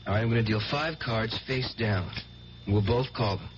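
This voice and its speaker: A man's voice: fairly low pitch, normal speed, highs cut off, background noise, natural voice. An actor playing a devout, insecure young man, earnest and intense, in a 1970s radio drama on an old recording. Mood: confident. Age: young adult.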